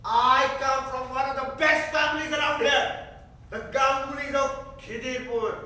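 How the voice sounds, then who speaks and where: An actor playing a sozzled, snobbish son-in-law in a staged play reading, mocking and boastful. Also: male voice, extremely high pitch, fairly even intonation, measured pace, pushed and bright, exaggerated voice. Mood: angry, contemptuous. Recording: very echoey theater, distant mic.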